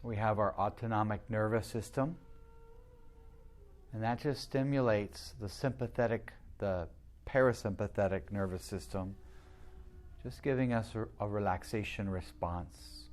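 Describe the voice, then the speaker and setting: Low-voiced man guiding a meditation. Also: darkish tone. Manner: soothing, unhurried, with long pauses. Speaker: meditation teacher. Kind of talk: guided meditation.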